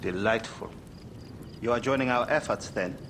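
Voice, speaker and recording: A man's voice: medium pitch, moderate pace, background noise, natural voice. An actor playing a troubled provincial nobleman in a game cutscene, dramatic and brooding. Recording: reverberant game audio.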